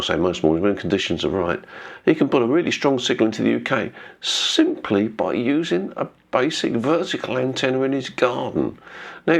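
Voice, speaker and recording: A man with a nasal, congested voice from a cold, presenting amateur radio talk in an informal, hesitant, conversational way. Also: fairly low pitch. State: has a cold, throat-clearing. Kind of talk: informal explanatory talk to viewers.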